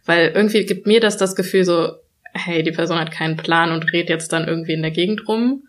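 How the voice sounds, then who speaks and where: A young woman talking reflectively in a casual conversation, pausing often with fillers and restarting her sentences. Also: fairly low pitch. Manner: thoughtful, many fillers and restarts, laughs when quoting others.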